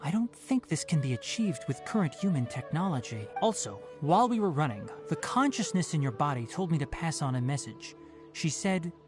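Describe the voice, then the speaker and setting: A man's voice: a little high, big pitch swings. A young forest scholar for a video game, composed and dryly sensible. He phrases things formally and carefully, yet his intonation moves up and down a great deal. Faint music plays behind him. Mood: grave, amazed. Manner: measured, articulate, formal.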